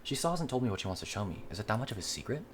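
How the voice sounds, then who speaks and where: Animated, quick man reading a game aloud. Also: fairly low pitch, slight background noise. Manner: animated, voicing characters. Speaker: player reading a visual novel aloud. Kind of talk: dramatic read-aloud of game dialogue.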